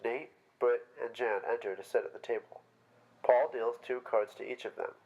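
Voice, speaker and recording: A man's voice: medium pitch, steady tone, moderate pace, darkish tone, muffled recording. A man reading stage directions aloud as scripted narration at a staged play reading.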